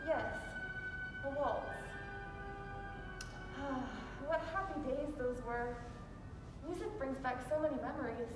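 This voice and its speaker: Female, acting: elderly English gentlewoman, reserved and wistful, stage play, heard from afar in a very echoey hall with music. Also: sits high, takes it slowly, pauses often. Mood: nostalgic. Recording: echoey hall, distant mic, music behind.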